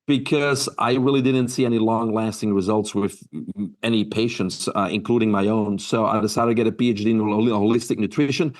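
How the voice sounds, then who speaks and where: Belgian-accented man in his fifties. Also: on the low side. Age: 50s. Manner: earnest, many fillers and restarts. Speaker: guest. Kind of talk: interview answers.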